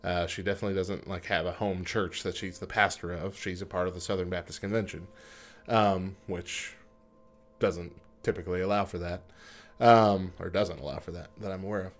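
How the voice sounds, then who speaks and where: A lower-pitched man co-hosting a talk radio show in a studio. He chats casually and reads news items, often stopping for fillers and restarts, and laughs easily. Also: steady tone, fairly quick.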